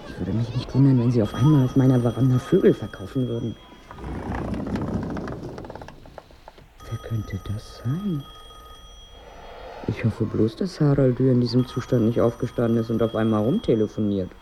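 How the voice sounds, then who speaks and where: A woman's voice: a bass voice, brisk, noisy background. An actress playing a melancholy, bitter married woman in a radio drama, with a brooding, wry, weary manner and diction. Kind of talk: radio drama monologue and dialogue.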